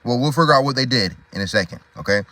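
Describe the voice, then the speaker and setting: A man's voice: mid-range voice, expressive, rapid-fire, pushed and bright, dry recording. A man reading a storybook aloud, breaking off into slangy, informal asides and easily sidetracked. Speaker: storybook reader.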